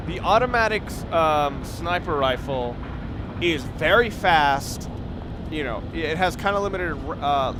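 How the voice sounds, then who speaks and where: A man's voice: on the high side, noisy background. A man doing casual, unscripted game commentary, thinking aloud in a rambling way and often correcting himself. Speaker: let's player.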